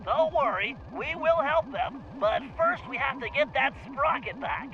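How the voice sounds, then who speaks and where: Nerdy young male gang planner for an animated game, extremely high register, cautious, precise, lecturing delivery. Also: a little slow, phone-quality sound, over a noisy crowd. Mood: reassuring, instructive. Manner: precise, fussy, explanatory. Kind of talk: animated video game voice acting.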